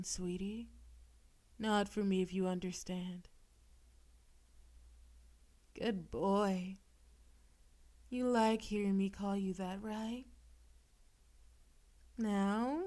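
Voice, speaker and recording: A woman voicing a possessive, seductive female demon in an intimate audio roleplay, teasing and coaxing with theatrical menace, sometimes breathy. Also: mid-range voice, warm tone. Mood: teasing, soothing. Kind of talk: intimate audio roleplay, scripted monologue to listener.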